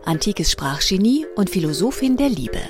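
A woman's voice: medium pitch, very animated, moderate pace, darkish tone, soft background music. A woman reading a scripted radio programme title as an announcer.

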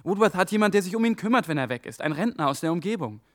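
High-voiced, excitable young man. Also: very fast. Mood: urgent, explaining. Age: young adult. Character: excitable, ambitious young German man piecing clues together. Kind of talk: German radio drama acting.

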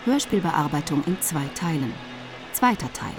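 A woman giving measured, formal scripted narration for a radio drama. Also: low voice, expressive, music in the background.

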